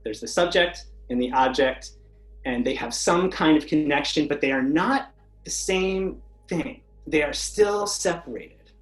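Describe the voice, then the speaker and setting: A man who researches language, a guest in an interview, explaining his ideas in a measured way. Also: on the high side. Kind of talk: conversational interview.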